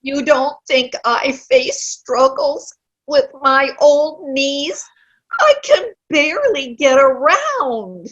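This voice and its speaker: A cranky elderly widow in a stage comedy reading, acted by a woman. Her voice is high, sharp and very animated. She complains bluntly, stubborn and dismissive, at an unhurried pace. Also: dull audio, heavily acted. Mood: grumpy. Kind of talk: amateur play reading. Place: online video-call play reading.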